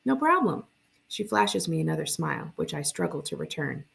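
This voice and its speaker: A woman reading a first-person story aloud as a librarian would, with expressive narration. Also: sits low, brisk, vocal fry.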